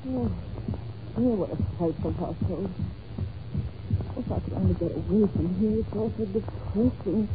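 Muffled old-radio actress as a frightened young governess. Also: female voice, medium pitch, moderate pace, pauses often, background noise, natural voice. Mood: fearful, brooding. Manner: polite, breathless, melodramatic. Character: frightened, timid, well-mannered young governess. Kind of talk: old-time radio drama acting.

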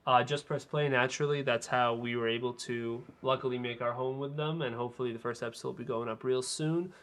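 A man's voice: mid-range voice, fairly even intonation, a little fast, a bit bright. A man co-hosting a film podcast, chatting casually and analytically with firm opinions. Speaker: film podcast co-host and critic.

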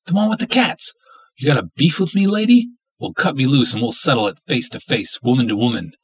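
A man voicing a feisty young American woman held captive in a dramatic story reading, slangy and forceful. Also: mid-range voice, expressive, fairly quick, slightly rough, dry recording, pitched above the performer's own voice. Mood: defiant.

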